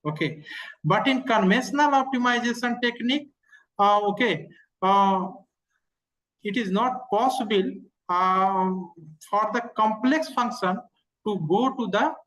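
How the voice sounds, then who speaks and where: Halting online instructor explaining step by step. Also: mid-range voice, fairly bright tone, low-quality recording. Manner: halting, repetitive, with frequent verbal tags. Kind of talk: live online technical lecture answering questions.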